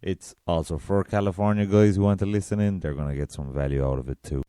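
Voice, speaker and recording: An Irish man with a very deep voice hosting a solo podcast, chatty and self-deprecating. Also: fast talker, mellow, clean tone, no room sound, clean studio sound. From south of Ireland. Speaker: podcast host.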